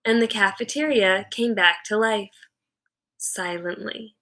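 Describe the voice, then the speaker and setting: A woman teacher giving an expressive, deliberate read-aloud of a children's novel with dramatic storytelling. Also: medium pitch.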